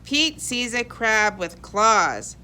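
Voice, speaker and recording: A woman reading a children's picture book aloud in an expressive, animated way for young kids. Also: fairly high pitch, slow pace, clean tone. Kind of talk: expressive read-aloud storybook narration.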